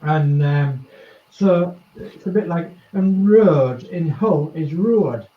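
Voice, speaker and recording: Yorkshire man, slow unhurried talk, slightly high voice, dull roomy audio. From East Yorkshire, England. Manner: rambling, chuckles between thoughts. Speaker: host of a model-building chat. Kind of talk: casual group chat.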